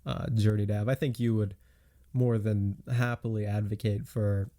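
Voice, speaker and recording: A man's voice: on the low side, flat delivery, moderate pace, dark tone. A man hosting a podcast, talking casually in a political discussion. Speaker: podcast host.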